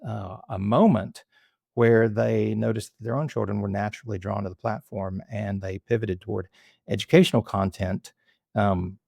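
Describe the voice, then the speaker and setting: Gravelly, halting podcast host. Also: male voice, fairly low pitch, a little mellow, dry recording, studio quality. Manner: halting, frequent restarts. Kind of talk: interview hosting.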